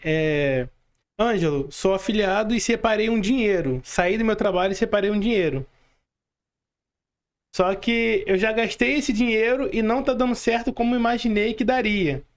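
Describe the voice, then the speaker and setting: A Brazilian man hosting a podcast, putting questions to his guest in a casual conversational style. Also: sits high. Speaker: podcast host. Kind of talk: casual interview, reading out listener questions.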